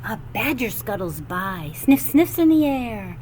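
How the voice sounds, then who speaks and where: A woman reading a children's picture book aloud with animated, sing-song expression and drawn-out phrases. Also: mid-range voice, background noise. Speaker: storybook reader.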